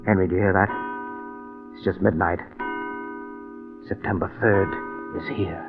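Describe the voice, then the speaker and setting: A man's voice: low voice, steady tone, unhurried, over loud music. An actor playing a haunted man obsessed with cheating death, in an agitated, theatrical 1940s radio drama style. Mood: guarded, tense. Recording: muffled, band-limited old 1940s radio recording.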